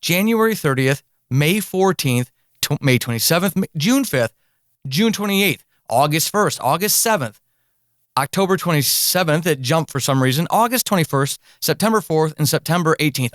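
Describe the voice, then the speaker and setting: Higher-voiced male podcast host, informal. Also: fairly bright tone, no room sound, studio quality. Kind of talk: casual interview chat.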